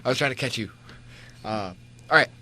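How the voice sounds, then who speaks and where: A man's voice: mid-range voice, brisk, bright, forceful tone, a little hiss or hum. A man clowning around and chatting casually between takes at an amateur recording session. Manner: goofy, clowning.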